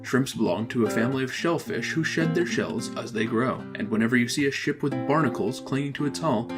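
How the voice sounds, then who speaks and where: A man reading scripted educational narration in a measured, formal, explanatory manner. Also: mid-range voice, over music.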